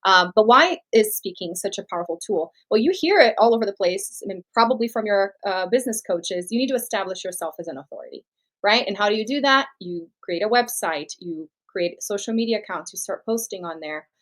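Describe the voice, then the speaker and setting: A woman's voice: mid-range voice, animated, a little fast, rough recording. A woman from Brazil whose first language is Portuguese, a guest speaker explaining confidently and laughing easily in an interview. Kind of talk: interview answers.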